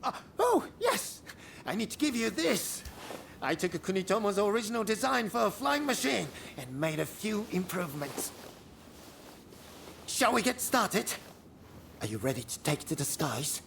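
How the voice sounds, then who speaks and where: A man voicing an excitable, eccentric inventor in a video game, flustered and theatrical in his delivery. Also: high voice, measured pace, creaky, a little hiss or hum, full character voice. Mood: eager, instructing. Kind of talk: video game cutscene acting.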